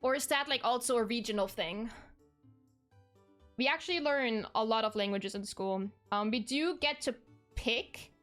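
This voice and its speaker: A woman's voice: fairly high pitch, normal speed, fairly bright tone, faint music behind. A young woman from Germany, chatting casually with her stream viewers, rambling with frequent ums and self-corrections.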